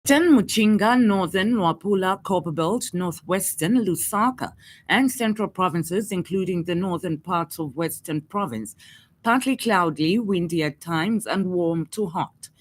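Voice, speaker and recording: A woman's voice: lowish voice, measured pace. Zambian woman reading radio news over music. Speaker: news reader. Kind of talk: scripted radio news bulletin.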